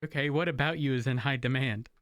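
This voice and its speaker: A man's voice: medium pitch, quick pace, fairly bright tone, no echo, studio quality. A man bantering casually in game roleplay, teasing and asking questions. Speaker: player in online roleplay.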